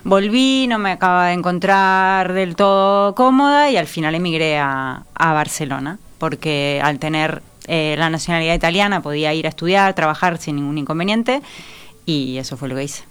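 A woman in her thirties from Uruguay, with a Uruguayan accent softened by years in Spain, answering interview questions thoughtfully with frequent pauses and fillers. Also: low voice, clear, resonant tone. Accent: Uruguayan Spanish mixed with Spain. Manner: thoughtful, hesitant, many fillers. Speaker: writer, interview guest. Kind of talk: conversational interview.

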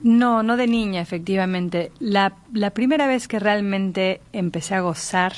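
A woman's voice: medium pitch, unhurried. A middle-aged Mexican woman speaking as a guest in a reflective literary conversation, articulate and thoughtful. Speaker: interviewee, writer.

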